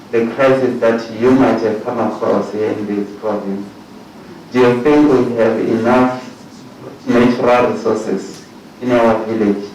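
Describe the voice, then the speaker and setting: A South African man on a commission panel puts his questions haltingly, repeating words as he goes. He sounds distant in a very echoey hall, with a faint hiss and a trace of music behind him. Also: lowish voice, darkish tone. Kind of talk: formal questioning at a hearing. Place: large hearing room.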